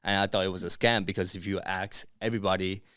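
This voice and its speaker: Clear, low-voiced man chatting informally. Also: flat delivery, fast, bright, forceful tone, no room sound, studio quality. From born in Bangladesh, grew up in Dubai and Miami. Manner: informal and chatty, peppered with slang like bro and dude. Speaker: podcast guest, entrepreneur. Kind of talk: casual interview chat.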